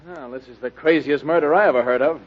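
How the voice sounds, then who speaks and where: A man's voice: on the high side, quick pace, lightly acted. A man playing an easygoing, wry sidekick to a lady detective in a radio drama. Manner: wry, casual. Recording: dull, lo-fi radio drama recording.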